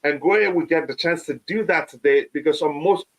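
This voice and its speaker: A man, hosting a live fan stream and reading viewer comments, halting with many fillers, his voice still touched by a cold. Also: a little high, poor audio. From Nigeria. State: recovering from a cold. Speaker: fan channel host.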